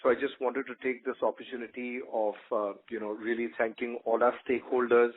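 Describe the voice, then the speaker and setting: A man giving a semi-formal, halting, appreciative address as a company executive. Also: mid-range voice, level tone. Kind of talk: semi-formal thank-you address on a conference call. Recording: narrow tinny phone line.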